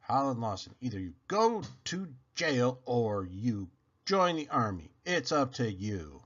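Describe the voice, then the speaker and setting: A man's voice: mid-range voice, very animated, measured pace, fairly bright tone, husky, heavily acted. A man voicing a stern policeman in a children's storybook, firm and declamatory as he lays down an ultimatum. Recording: dull lo-fi sound.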